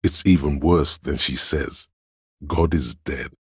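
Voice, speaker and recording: A man voicing a pompous, opinionated inner voice of artistic imagination in a video game, narrating theatrically and deliberately in a very deep, gravelly, husky voice. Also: no room sound, clean studio sound, exaggerated voice. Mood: somber, poetic. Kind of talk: dramatic second-person game narration.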